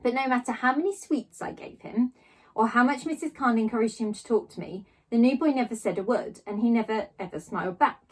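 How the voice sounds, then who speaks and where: A woman reading a children's novel aloud, narrating in a child's first person with expressive storytelling emphasis. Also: a little high, fairly quick, low-quality recording. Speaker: storybook reader.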